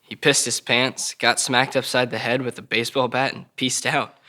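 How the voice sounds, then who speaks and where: A defiant teenage boy in trouble with the law played by a man, sarcastic and mouthy, swearing freely in slang. His voice is bright and forceful at a middling pitch, in a crime drama read. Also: flat delivery, normal speed, natural voice. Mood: bitterly sardonic.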